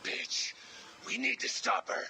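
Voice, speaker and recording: A man voicing a tormented, volatile villain with a gravelly voice in a video game. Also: unhurried, pushed and bright, slight background noise. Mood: agonized, furious. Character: tormented male villain, volatile, indecisive, explosively angry.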